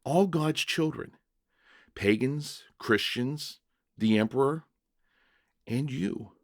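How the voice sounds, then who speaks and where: Man acting gentle doomed saint, serene, kindly, slow measured delivery, storybook drama, dry sound. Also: mid-range voice, no echo. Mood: gentle, warm.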